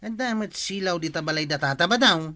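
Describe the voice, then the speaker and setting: A man plays a teasing young Ilocano villager in a comic audio drama. His voice is bright and forceful, with a cheeky, flattering way of talking. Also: sits high, fairly quick. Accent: Filipino, Ilocano.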